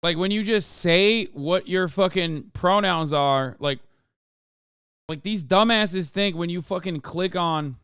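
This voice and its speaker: Male streamer, Turkish first language, profane sarcastic commentator, crisp dry close-mic sound. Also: high voice, a bit bright, dry recording. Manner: profane, sarcastic, rapid rants. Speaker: streamer host. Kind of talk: casual live-stream news commentary.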